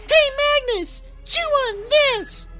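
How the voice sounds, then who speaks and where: A man voicing an excitable young female reptilian alien in a shrill falsetto, in a comic space cartoon. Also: takes it slowly. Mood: defiant. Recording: loud backing music behind voice.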